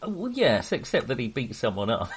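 A man's voice: mid-range voice, wildly swooping pitch, a little fast, slight background noise. A man hosting a casual film discussion, speaking hesitantly and often correcting himself as he digresses. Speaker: podcast host.

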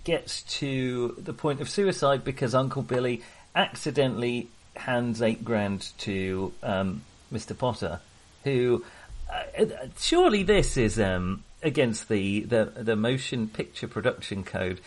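A man's voice: mid-range voice, animated, unhurried, rough recording. Man chatting about films. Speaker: film podcast co-host. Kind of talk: casual film discussion.

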